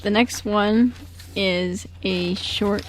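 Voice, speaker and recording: A woman's voice: medium pitch, steady tone, extremely slow. A young woman hosting casually, introducing readings in an informal, chummy way with her co-hosts. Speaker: radio show host.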